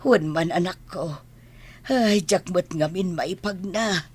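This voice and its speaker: A woman's voice: on the low side, measured pace, slightly rough. A Filipino woman playing an injured elderly mother in an audio drama, speaking plaintively. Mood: pained, weary. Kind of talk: Ilocano audio drama acting.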